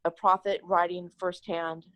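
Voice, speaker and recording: A woman's voice: on the low side, little pitch movement, measured pace, fairly bright tone, dry recording, poor audio. A woman taking part in a class, asking questions in a polite, earnest, conversational way.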